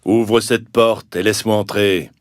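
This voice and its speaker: A man voicing a hungry, menacing big bad wolf in a children's cartoon fairy tale, with a drawn-out threatening delivery. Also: fairly low pitch, fairly even intonation, dry recording, clean studio sound, close to an everyday voice. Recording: loud music behind the voice.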